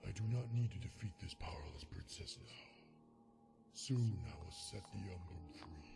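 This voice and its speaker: A man's voice: low voice, normal speed, gravelly, music in the background. A man voicing a vengeful, brooding unicorn villain in a cartoon fandub, with menacing, theatrical delivery. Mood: brooding menace. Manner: menacing, theatrical, swings from whisper to shout.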